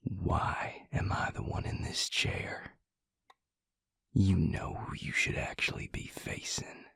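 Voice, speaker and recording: A man acting a grim, protective vampire in an audio drama, terse and angry in a gravelly, breathy near-whisper. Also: slow, a bit bright, dry recording, studio quality. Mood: bitter defiance. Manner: terse, growling, restrained anger.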